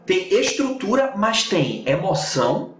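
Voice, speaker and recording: A man with a northeastern Brazilian accent and a slightly high voice teaches in a casual, explanatory way. He repeats points for emphasis, chatting over a video call from an echoey room. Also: sing-song, measured pace, a bit bright. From Natal, northeastern Brazil. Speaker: English teacher. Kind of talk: casual online lesson chat. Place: indoors on a Skype video call. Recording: roomy echo with faint noise.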